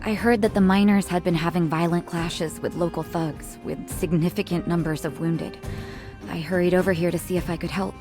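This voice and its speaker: A woman voice acting a composed, kind doctor in a video game, speaking in a calm, measured, polite way. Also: lowish voice, over music, close to an everyday voice. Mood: calm, grave.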